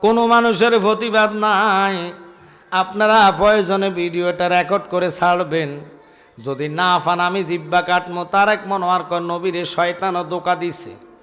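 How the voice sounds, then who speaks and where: High-pitched Bangladeshi male preacher, heavy reverb. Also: steady tone, faint music behind. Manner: impassioned, declamatory, drawn-out chanting phrases. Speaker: Islamic preacher. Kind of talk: Bengali waz sermon, dramatic storytelling. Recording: long reverb from loudspeaker system.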